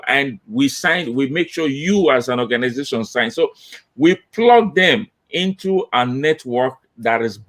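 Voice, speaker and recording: Middle-aged guy on a webcam call, emphatic, keeps repeating himself to make a point. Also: medium pitch. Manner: animated, gestures with hands, emphatic repetition. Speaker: diversity consultant. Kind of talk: panel discussion. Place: home office with banner. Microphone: laptop webcam on video call.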